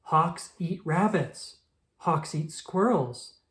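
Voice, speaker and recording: A woman's voice: low-pitched, animated, takes it slowly, roomy sound. A woman voicing a timid, frightened young female squirrel in a storybook. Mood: afraid.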